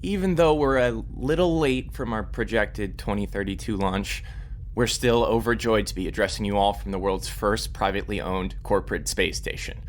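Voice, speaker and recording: A man's voice: on the low side, normal speed, a little hiss or hum, close to an everyday voice. An actor playing an earnest, slightly flustered male scientist fronting a broadcast in an audio drama. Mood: earnest, nervous. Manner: earnest, presentational.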